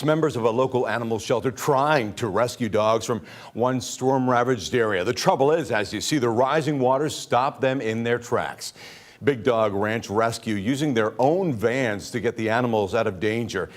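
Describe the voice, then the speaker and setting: A man anchoring a local TV newscast, reading the script in a polished anchor style. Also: medium pitch. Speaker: news anchor.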